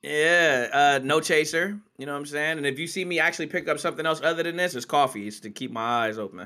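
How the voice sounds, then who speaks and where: An energetic young man in his early twenties steers the podcast chat and hypes up his co-hosts. His voice is bright and sits a little high. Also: fast talker. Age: 20s. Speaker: podcast host. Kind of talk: casual podcast banter.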